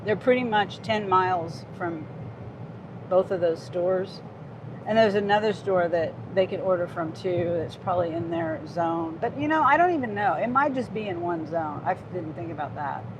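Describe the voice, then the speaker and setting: A woman's voice: on the low side, normal speed, noisy background. A woman in her fifties, a gig delivery driver, chatting casually on a livestream and reading out viewer comments in a rambling way. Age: 50s. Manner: chatty and rambling, with frequent restarts.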